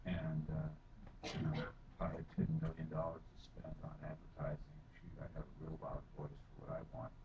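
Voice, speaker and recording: A middle-aged man giving rambling public testimony at a council hearing, full of fillers and growing forceful. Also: very deep voice, monotone, normal speed, vocal fry. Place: county council chamber. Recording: echoey hall from far off, dull and noisy.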